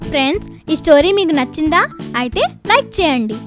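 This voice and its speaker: Very high, animated female announcer. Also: normal speed. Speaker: channel announcer. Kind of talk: scripted children's channel promo. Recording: music jingle under voice.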